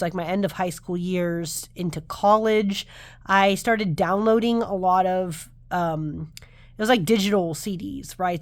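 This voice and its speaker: A woman's voice: medium pitch, no room sound. A woman in her thirties, slightly nasal while recovering from the flu, chatting to camera in a rambling, self-interrupting way as a tarot vlogger. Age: 30s. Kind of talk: casual chat to camera.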